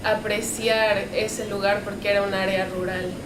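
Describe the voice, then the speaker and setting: A woman's voice: medium pitch, flat delivery, normal speed. A woman from Mexico City with a Mexican Spanish accent, telling a reflective personal story. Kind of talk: personal testimony. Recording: echoey room recording with noise.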